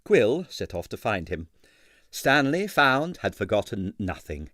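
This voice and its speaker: A man reading a comic mystery novel as scripted narration, with a dry, measured storytelling manner. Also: lowish voice, very animated, fairly bright tone, dry recording. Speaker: audiobook reader.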